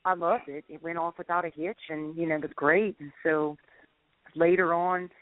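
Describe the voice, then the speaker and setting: A woman singer with a deep voice tells stories in an easygoing way and laughs along the way. She is heard over a narrow, phone-like line, and the sound is dry and close. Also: flat delivery, normal speed, no room sound. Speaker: singer, interview guest. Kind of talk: casual storytelling interview. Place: California.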